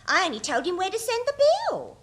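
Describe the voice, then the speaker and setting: An actress playing a scatty, chirpy English office secretary in a British radio sitcom, fluting and dithering. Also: female voice, very high-pitched, sing-song, fast, creaky, bright, forceful tone, heavily acted. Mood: cheerfully vague.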